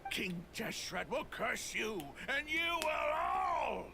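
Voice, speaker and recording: A cocky mercenary chief snarls through a gravelly, high-pitched voice. Game audio sits under a clear bed of ambient noise. He taunts and threatens in short bursts. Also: sing-song, slow pace, exaggerated voice. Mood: furious. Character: boastful male desert mercenary leader, menacing. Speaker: game character.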